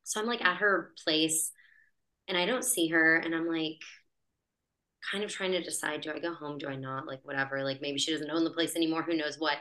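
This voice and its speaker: A woman's voice: low voice, steady tone, a little fast, bright, forceful tone. A woman telling a personal story as a podcast guest in a chatty, breezy way, laughing as she talks. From United States. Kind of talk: casual storytelling in conversation.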